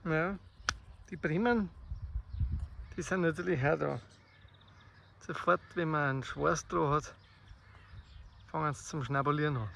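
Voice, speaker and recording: A man with a Bavarian accent improvises spoken poetry outdoors in a slow, reflective, halting way, in short phrases with long pauses. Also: a little high, creaky, a bit bright. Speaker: amateur poet. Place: outdoors in the countryside. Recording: faint outdoor nature noise. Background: birdsong and rural ambience.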